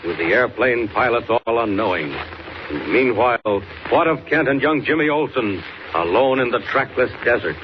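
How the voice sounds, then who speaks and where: A man's voice: medium pitch, animated, moderate pace. A man announcing a radio serial in dramatic, grandiose, emphatic 1940s scripted narration. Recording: muffled noisy old radio recording.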